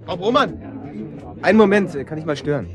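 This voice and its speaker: A man's voice: high-pitched, animated, fairly quick, crowd noise. An actor in a German radio drama playing an agitated, blunt man who speaks with urgent insistence. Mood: polite, hurried. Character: agitated, blunt man searching for his missing boat.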